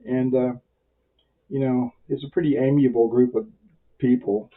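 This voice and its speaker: A man giving an informal beginner tutorial in a folksy, rambling way, halting often with pauses. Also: mid-range voice, steady tone. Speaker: amateur radio instructor. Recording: very muffled, dull lo-fi audio.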